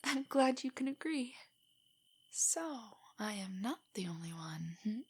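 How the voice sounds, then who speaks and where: A young woman playing a nerdy, nervous, tender girlfriend in an intimate stargazing roleplay audio, speaking softly and often near a whisper as she gently rambles. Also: a little high, lively intonation, unhurried, slightly rough, heavily acted. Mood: gently enthusiastic. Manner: rambling, gently explaining, hesitant. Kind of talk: intimate roleplay audio, scripted to sound spontaneous.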